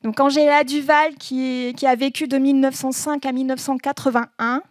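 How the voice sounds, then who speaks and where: A woman giving a relaxed presentation, reading proverbs aloud and explaining them with frequent laughter. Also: sits high, no room sound, clean studio sound. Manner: slow, laughing often, says proverbs then explains them. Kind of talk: informal presentation with translations.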